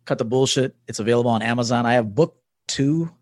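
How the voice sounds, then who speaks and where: Male, early forties, interview guest. Conversational coach talk, emphatic delivery, mid-pitched, dry recording. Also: fast, a little mellow, no room sound. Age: early 40s. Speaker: podcast guest, men's coach.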